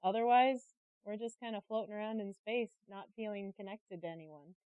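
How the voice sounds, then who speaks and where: A woman speaking as a guest in a casual interview, expressive and earnest, on slightly dull audio. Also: medium pitch, brisk, clear, resonant tone, no echo. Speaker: interview guest, creative director.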